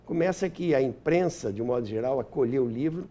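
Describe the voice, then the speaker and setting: A man's voice: mid-range voice, lively intonation, brisk, dark tone. An older man with a Brazilian accent, reminiscing as an interview guest and searching for words here and there. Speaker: interview guest, historian and author.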